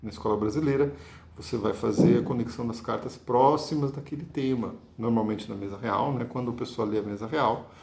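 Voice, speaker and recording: A Brazilian-accented man gives a card-reading lesson with a gravelly edge to his mid-pitched voice. He explains patiently and keeps checking in with the listener. The recording sounds echoey and roomy. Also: brisk, a little mellow. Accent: Brazilian Portuguese. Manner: patient, ends points with tag questions. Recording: echoey room.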